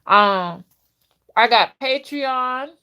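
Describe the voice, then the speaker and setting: A woman's voice: a little high, lively intonation, drawn-out words, clear, resonant tone, lo-fi sound. A woman, chatting casually as a livestream host and talking straight to her viewers, often checking in with them. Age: early fifties. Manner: conversational, addresses viewers directly, often checks in with "okay" and "you know". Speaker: livestream host and content creator.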